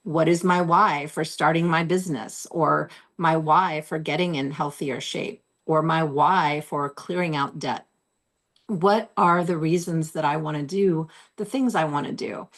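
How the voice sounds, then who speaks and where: Woman, lowish voice, earnest self-help host, a bit hoarse, encouraging. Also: steady tone, measured pace. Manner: earnest, encouraging, asks rhetorical questions. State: slightly hoarse, losing her voice. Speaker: podcast host. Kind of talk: solo self-help podcast talk.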